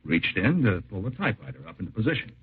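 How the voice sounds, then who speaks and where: On a tinny old radio show, a plainspoken male car lot owner. His low, heavily husky voice moves up and down in a lively way, chatting in short folksy sentences. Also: fast talker, heavily acted. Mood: chatty, rueful. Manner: folksy, clipped sentences dropping subjects. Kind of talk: 1950s radio drama acting.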